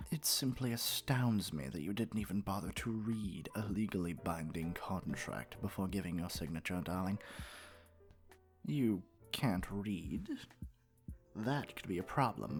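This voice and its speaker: Man performing a haughty lamia nobleman, lowish voice, very animated intonation, patronizing, domineering, pompous, fantasy audio roleplay. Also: creaky, faint music behind, heavily acted. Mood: mock-sympathetic. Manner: pompous, drawn-out, patronizing endearments. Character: haughty male lamia noble, wealthy retired merchant, condescending and domineering. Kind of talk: scripted ASMR fantasy roleplay.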